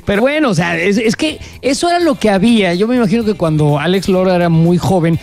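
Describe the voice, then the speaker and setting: A man's voice: sits high, expressive, fast, darkish tone. A man with a Mexican Spanish accent, hosting a show and bantering casually, joking and emphatic, full of slang. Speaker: show host.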